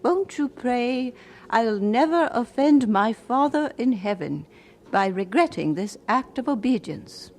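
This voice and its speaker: A devout, scrupulous widow reads her letters earnestly in old radio drama fashion. Her voice sits fairly high and moves expressively up and down, with careful, measured phrasing. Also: animated, a little mellow, a little hiss or hum. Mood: earnest devotion.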